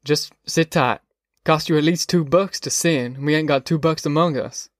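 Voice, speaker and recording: A man's voice: a little high, moderate pace, dry recording, lightly acted. A man voicing a wry, earthy farm father with a blunt, folksy manner in an audiobook. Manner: folksy, dry-humored, blunt.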